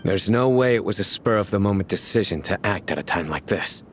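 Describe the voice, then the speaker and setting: A man playing a grave, methodical law enforcer in a video game, formal and deliberate in his speech. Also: low voice, animated, a little hiss or hum. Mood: grave, analytical. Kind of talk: scripted video game voice acting.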